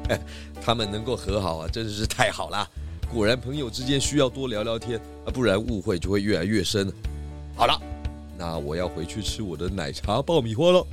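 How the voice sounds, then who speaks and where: An actor voicing a kindly, snack-loving pig detective in a children's audio drama. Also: male voice, fairly low pitch, lively intonation, a little fast, faint music behind, close to an everyday voice. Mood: warm, content.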